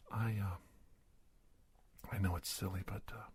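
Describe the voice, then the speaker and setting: A man playing a polite, nervous middle-aged investigator in an ASMR roleplay, soft-spoken and halting, often slipping into a whisper. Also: steady tone, full character voice. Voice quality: husky, breathy, gravelly low voice. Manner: halting, hesitant, courteous, deliberate phrasing.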